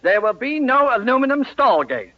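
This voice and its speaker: An actor playing an exasperated, gullible middle-aged homeowner in an old-time radio comedy, indignant and sputtering. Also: male voice, very high voice, big pitch swings, normal speed, highs cut off, heavy background noise. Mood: flustered, indignant.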